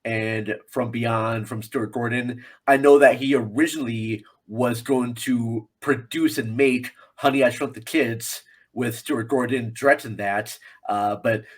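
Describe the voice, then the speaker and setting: A man's voice: mid-range voice, steady tone, measured pace, breathy edge. A man appearing as a podcast guest, chatting casually about films. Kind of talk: casual film chat.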